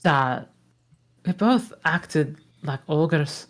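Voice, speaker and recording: A gruff, grumbling ogre, read by a woman, speaking in an unhurried, lowish voice. The manner is exasperated and grudgingly decent. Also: animated, heavily acted. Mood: earnest. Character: gruff male ogre, grumpy but decent. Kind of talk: amateur table read.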